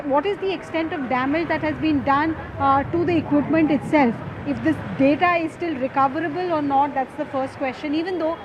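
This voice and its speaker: A woman field reporter with an Indian accent, explaining emphatically in a live report from outdoors. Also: high voice. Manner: emphatic, explanatory, rhetorical questions. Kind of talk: live on-location news reporting. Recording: clearly noisy outdoor urban field audio.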